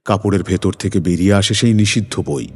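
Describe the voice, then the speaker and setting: A man's voice: low voice, a little fast, darkish tone. A man narrating a scripted suspense story in a dramatic, suspenseful manner. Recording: music behind the voice.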